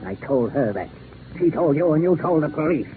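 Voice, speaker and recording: An actor playing a squat, frog-faced gangster villain, obsessed and vengeful, who talks with gloating, deliberate menace in 1940s radio style. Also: male voice, on the high side, expressive, close to an everyday voice. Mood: bitter, menacing. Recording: noisy narrow-band 1940s radio recording.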